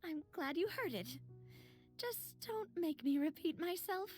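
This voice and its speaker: Casting: breezy, expressive young game heroine, high animated voice, easily flustered. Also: female voice, a little slow, soft background music. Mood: flustered, playful. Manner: breezy, expressive, hesitant when embarrassed. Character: Navia, spirited warm young woman, easily flustered. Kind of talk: video game voice acting.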